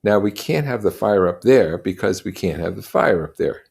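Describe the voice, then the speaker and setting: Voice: low voice, expressive, normal speed, vocal fry, darkish tone, slightly rough, pitched above the performer's own voice, exaggerated voice. A reader voicing reluctant, earnest schoolboys pleading their case in a storybook. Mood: earnest, persuasive.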